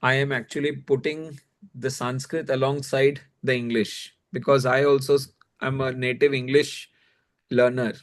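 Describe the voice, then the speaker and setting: Male religious teacher, Indian accent, mid-range voice, conversational and explanatory delivery. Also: medium pitch. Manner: explanatory, frequently checking with "you know".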